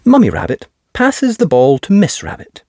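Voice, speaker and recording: A woman narrating a children's picture book as an expressive storyteller. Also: lowish voice, normal speed, slightly rough, no room sound, studio quality. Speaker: storyteller, channel host.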